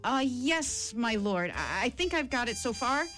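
A woman's voice: on the high side, sing-song, unhurried, fairly bright tone, faint music behind, voice pushed up. A woman voicing a cunning storybook jackal who plays dim-witted and grovelling, stammering and wailing in muddled repeats. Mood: pleading, confused.

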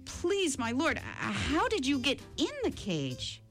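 Woman as a sly storybook jackal, high swooping pitch, dithering, stammering, wailing, servile pretend fool. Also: huge pitch swings, measured pace, a bit bright, soft background music, full character voice. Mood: pleading, confused. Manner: stammering, muddled, wailing with repeated words. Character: cunning jackal feigning dim-witted, fretful, grovelling servility.

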